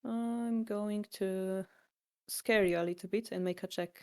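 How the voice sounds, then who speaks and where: A woman's voice: medium pitch, fairly even intonation, measured pace, smooth. A woman with a Polish accent teaching a board game, patient and explanatory, with slightly non-native phrasing. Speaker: shogi teacher.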